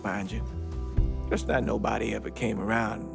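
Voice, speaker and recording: A man acting a humble, devout old war veteran giving a reflective, plain-spoken interview in a game cutscene, with a gravelly voice. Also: lowish voice, steady tone, normal speed, music in the background. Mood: somber, reflective. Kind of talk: documentary-style interview in a video game cutscene.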